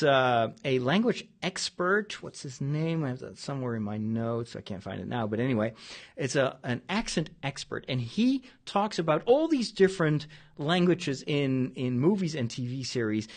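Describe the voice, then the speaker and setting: A man with a clear Dutch accent talks in a loose, unscripted monologue as a priest and host. His voice is medium in pitch but swings widely up and down. He circles back and gropes for words as he goes. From Netherlands. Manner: digressive, searching for words mid-sentence. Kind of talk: casual spoken monologue.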